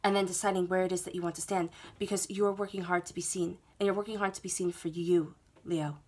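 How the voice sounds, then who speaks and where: A woman with a stuffy head cold reads tarot cards laid out on a table at home. Her voice sits a bit low, and she talks in a soothing, reassuring way, repeating herself and often checking in with "okay?". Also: fairly even intonation, quick pace. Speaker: tarot reader. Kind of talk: monthly tarot reading to camera.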